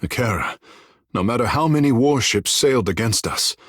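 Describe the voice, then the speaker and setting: An actor voicing a vain, flirtatious sea-folk water shaper in a video game, with theatrical, languid, florid delivery. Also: male voice, medium pitch, expressive, moderate pace, a bit bright, slightly rough, dry recording, clean studio sound. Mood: troubled, reflective. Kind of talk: video game character dialogue.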